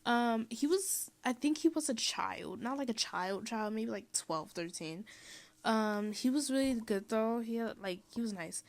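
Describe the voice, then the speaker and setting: A woman's voice: fairly high pitch, lively intonation. Rambling young woman vlogger. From Mexico. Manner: rambling, lots of "um" and "like", quick laughs. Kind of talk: casual chatty voiceover.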